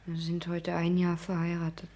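An actress playing a meek, subdued young housewife in a 1960s German radio play, speaking quietly and submissively. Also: female voice, on the low side, completely flat delivery, moderate pace, natural voice. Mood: pious, hushed.

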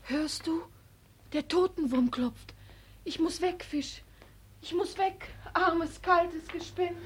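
Eerie, fearful woman, high-pitched, hushed, very slow, vintage radio play. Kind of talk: 1940s German radio drama acting. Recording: old 1940s radio recording.

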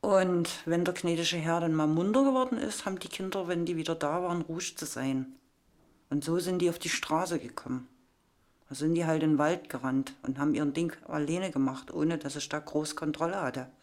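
Plain-spoken middle-aged woman, lowish voice. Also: a little fast, darkish tone. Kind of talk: frank personal account.

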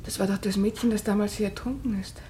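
An actress playing a betrayed wife in a German radio drama, outwardly polite yet wounded and insistent. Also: female voice, medium pitch, fairly even intonation, fast, warm tone, slight background noise, natural voice. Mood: wounded, shaken.

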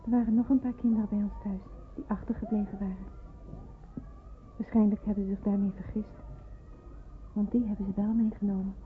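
A thin, phone-like old radio play with faint noise carries an actress as an anxious young woman. She is hesitant and grieving, speaking in a hushed, near-whispered tone at a middling pitch. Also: little pitch movement, a little fast, long pauses, lightly acted. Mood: polite, hesitant. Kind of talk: radio drama acting with hushed inner monologue.

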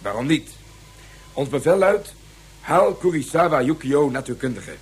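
Sci-fi radio villain: commanding colonel, rough-edged voice on the higher side, curt orders. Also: male voice, lively intonation, normal speed. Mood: cold, commanding. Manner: clipped, authoritative military delivery. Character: ruthless, commanding male space colonel. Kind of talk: radio play acting.

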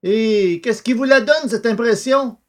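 An actor playing a curious gentleman friend who narrates a French detective story and its dialogue. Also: very high-pitched, measured pace. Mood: animated. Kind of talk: scripted literary narration with dramatised dialogue.

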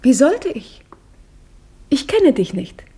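A woman's voice: high-pitched, very animated, takes it slowly, dark tone, full character voice. An actress playing a mysterious veiled young palace girl, speaking in a cool, aloof way, in a 1950s radio play.

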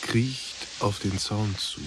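A man giving sober, measured literary narration in a radio drama. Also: fairly low pitch, noisy background.

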